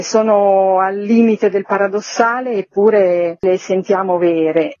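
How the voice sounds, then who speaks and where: A woman speaking as an artistic director in an interview, explaining in a measured way with searching pauses. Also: mid-range voice, darkish tone, no echo, lo-fi sound.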